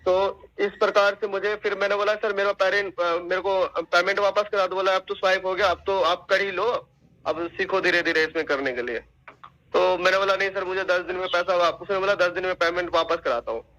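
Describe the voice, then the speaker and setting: Male phone complainant, high and forceful voice, repeats himself haltingly, very respectful in address. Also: fairly even intonation. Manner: deferential, repetitive, halting. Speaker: caller making a complaint. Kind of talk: phone complaint, recounting an account. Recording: recorded phone call.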